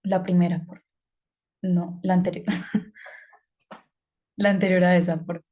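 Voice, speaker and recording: A woman's voice: fairly low pitch, little pitch movement, measured pace, dark tone. A woman from Colombia giving an online talk, speaking conversationally with fillers and hesitations. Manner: conversational, hesitant with fillers, asks about slides.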